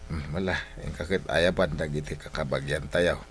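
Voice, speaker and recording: A man playing a plain-spoken, chiding family man in a radio drama, with a gravelly voice and an Ilocano accent. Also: extremely low pitch, fairly even intonation, normal speed, no room sound. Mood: mildly exasperated.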